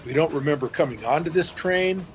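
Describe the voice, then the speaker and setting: A man's voice: a little high, brisk, slight background noise, lightly acted. A man playing an earnest, puzzled boyfriend in an audio fantasy drama.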